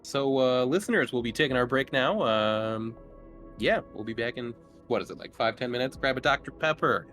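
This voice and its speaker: A man with a middle-pitched voice runs a tabletop roleplaying game. He narrates casually and hesitantly, feeling his way through each explanation. Faint music plays underneath. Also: lively intonation, a bit bright. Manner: hesitant, halting delivery. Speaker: game master.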